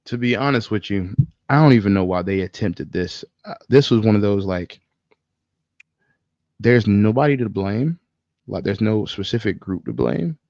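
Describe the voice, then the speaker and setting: A man's voice: on the low side, normal speed, pauses often, darkish tone, no echo, dull audio. A man co-hosting a podcast, talking casually and bluntly with slang, swearing and strong opinions. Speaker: podcast co-host. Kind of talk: casual film discussion.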